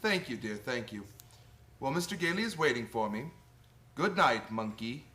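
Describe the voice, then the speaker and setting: A man playing a kindly, playful old Santa Claus in a radio play, gentle and coaxing, with hesitant little interjections. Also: medium pitch, very animated, moderate pace. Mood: tender.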